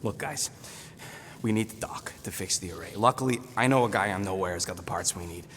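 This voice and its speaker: An actor playing a small, foul-mouthed, cranky creature mercenary who gripes sarcastically in a video game. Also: male voice, low voice, a little fast, a bit bright, long reverb tail, a little hiss or hum. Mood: bitter, sarcastic. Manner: sarcastic, griping, brash. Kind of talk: animated game dialogue acting.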